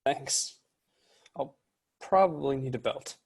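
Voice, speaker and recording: Meek teen underdog played by a man, sci-fi table read. Subdued, self-deprecating, hesitant, average pitch. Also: slow pace, gravelly, darkish tone, slightly rough, full character voice. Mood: embarrassed. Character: puny, meek, self-deprecating teenage boy. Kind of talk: science fiction script table read.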